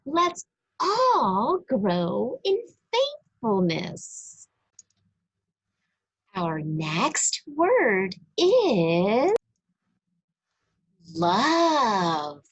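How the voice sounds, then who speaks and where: Female read-aloud storyteller, higher-pitched with a husky, creaky edge, deliberate and emphatic delivery for young listeners. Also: very animated. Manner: deliberate, emphatic phrasing with pauses. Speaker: children's story reader. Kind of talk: slow, expressive read-aloud of a religious children's book.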